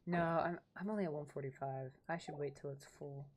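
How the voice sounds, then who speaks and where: A woman's voice: low voice, fairly even intonation, fairly quick. A woman casually chatting as she livestreams a game, rambling to viewers and to herself. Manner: rambling, talks to chat and to herself. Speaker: game streamer. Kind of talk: casual livestream gameplay chat.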